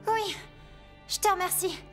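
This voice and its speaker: Very high-pitched feisty angel girl. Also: unhurried, soft background music. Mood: puzzled, anxious. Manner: excitable, indignant, emphatic. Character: earnest, feisty teenage angel girls. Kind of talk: French cartoon dubbing.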